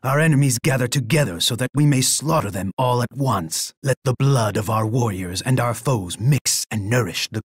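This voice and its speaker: An actor playing a proud, bloodthirsty Aztec emperor in a video game, with a pompous, declamatory delivery. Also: male voice, on the low side, slightly rough, dry recording, studio quality. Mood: bloodthirsty, triumphant. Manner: pompous, declamatory, speaks of himself in third person.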